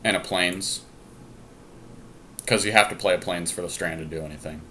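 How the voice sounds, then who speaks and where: A man's voice: low voice, steady tone, moderate pace, bright, forceful tone, slight background noise. A man talking casually through card game deck choices, deliberating and reasoning aloud as he goes. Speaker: podcast host drafting a card game deck.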